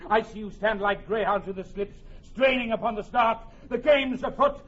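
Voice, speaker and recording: A commanding young warrior king, his voice pitched very high. He declaims with emphatic, drawn-out phrases in classic Shakespearean style. The radio recording sounds muffled. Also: fairly bright tone. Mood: rousing, exhorting. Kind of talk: Shakespearean radio drama acting.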